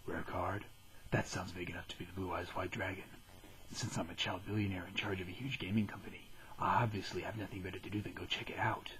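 A man voicing an arrogant, cold young billionaire in a parody anime dub, smug and deadpan with a breathy, hushed delivery. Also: low-pitched, fast talker, slight background noise, rough recording.

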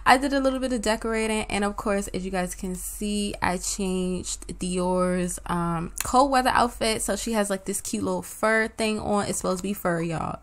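Chatty slangy woman narrating her game. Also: medium pitch. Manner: chatty, slangy, talks straight to viewers. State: losing her voice. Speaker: gaming channel host. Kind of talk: casual let's-play commentary.

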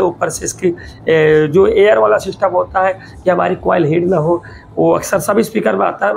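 A man's voice: high voice, vocal fry, a little mellow, slight background noise, rough recording. A man giving a casual, rambling product showcase as a vlogger, repeating himself and addressing viewers like friends.

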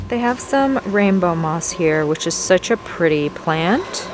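A woman's voice: on the low side, expressive, a little slow, music in the background, rough recording. A woman giving casual, chatty shopping vlog commentary, appreciative and half talking to herself as she browses, recorded on a handheld camera in a large store garden center. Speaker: vlogger, host. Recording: clear store background noise, ventilation hum.

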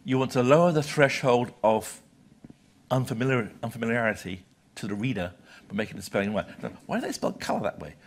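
A man's voice: medium pitch, sing-song, fairly quick, vocal fry. A British man in his sixties giving a live lecture to an audience in a conversational, emphatic way with short clipped phrases. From Britain. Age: 60s. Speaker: lecturer, journal publisher. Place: lecture hall, speaking from a podium. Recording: live talk with audible background noise.

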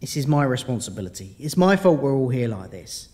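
Lively, stubborn father played by a man. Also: medium pitch, fairly quick, close to an everyday voice. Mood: resolute. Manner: animated, colloquial. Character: protective, stubborn father of a besieged family. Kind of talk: sci-fi audio drama acting. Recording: long reverb.

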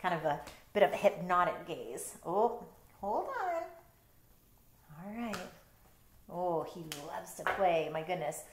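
A middle-aged woman reads a children's book aloud in a roomy bedroom. Her delivery is expressive and animated in pitch, breaking off for casual explanations to young listeners. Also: slow pace, pauses often, slight background noise. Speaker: storytime reader, teacher. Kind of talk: picture-book read-aloud with casual asides. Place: bedroom, indoors.

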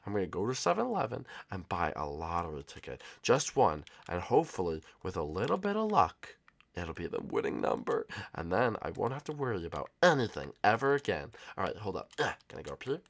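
A man's voice: fairly high pitch, very animated, fast talker, creaky, fairly bright tone, voice pushed up, heavily acted. A man voicing a whiny, scheming, spoiled young monster boy in a comedy skit, rambling dramatically and repeating himself. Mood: sneaky, hushed.

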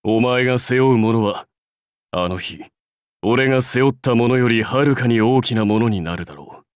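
A male actor plays a proud warlord from a fantasy game, devoted to his clan. He speaks curtly and with complete self-assurance, as one used to command. The pitch is average for a man, and the sound is dry and close. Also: moderate pace, clean tone, dry recording, close to an everyday voice. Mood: solemn, resolute. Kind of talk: Japanese mobile game character lines.

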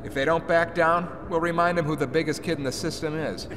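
A man's voice: on the high side, fairly even intonation, a little fast, close to an everyday voice. Man acting a confident general in a cavernous echo. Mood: authoritative. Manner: authoritative, wry. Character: confident, wry male rebel general. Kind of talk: audio drama acting. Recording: echoing starship bridge, noisy effects.

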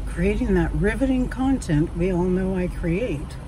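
A woman's voice: lowish voice, expressive, unhurried. A middle-aged woman chatting casually to camera from her parked car, rambling and self-deprecating. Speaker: vlogger. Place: parked car, outdoors in sun. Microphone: phone camera on dashboard. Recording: distant mic with loud street noise.

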